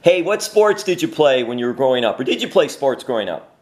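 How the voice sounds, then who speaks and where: A man's voice: mid-range voice, very animated, a little fast. A man hosting an interview, asking questions in an upbeat, enthusiastic way. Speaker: interview host. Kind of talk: casual interview.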